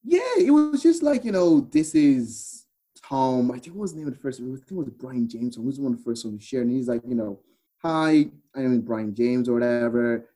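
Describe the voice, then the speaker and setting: Mellow Irish man telling stories casually. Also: mid-range voice, lively intonation, a little fast. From Ireland. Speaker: podcast guest. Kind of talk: casual chat, storytelling.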